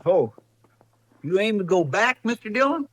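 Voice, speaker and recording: An actor playing a folksy, deferential cowboy sidekick with a homespun drawl, in a 1950s radio western. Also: male voice, sits high, very animated, moderate pace, a bit bright, full character voice. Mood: deferential, questioning. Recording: vintage radio drama recording.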